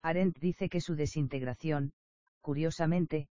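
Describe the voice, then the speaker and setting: A man reading an academic nonfiction text aloud in an even, steady manner, even voicing page numbers and footnote marks. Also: fairly high pitch, measured pace, no echo. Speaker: reader of an academic book. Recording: dull, lo-fi dry recording.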